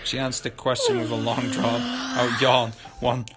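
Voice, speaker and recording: Male streamer, medium pitch, reading game narration aloud in a stumbling way, very loud music underneath. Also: level tone, normal speed. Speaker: livestreamer.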